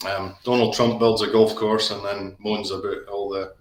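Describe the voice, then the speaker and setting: A man's voice: fairly low pitch, little pitch movement, normal speed, echoey room. Chatty Aberdeen Scotsman on a video call. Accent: Aberdeen Scottish. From Scotland. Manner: chatty, rambling with many restarts and fillers. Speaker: guest. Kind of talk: casual live-stream chat. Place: home office.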